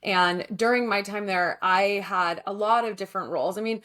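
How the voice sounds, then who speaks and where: A woman's voice: mid-range voice, normal speed, fairly bright tone. A woman in her thirties hosting a podcast interview, chatting in an upbeat way and affirming her guest often. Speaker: podcast host. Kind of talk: casual interview.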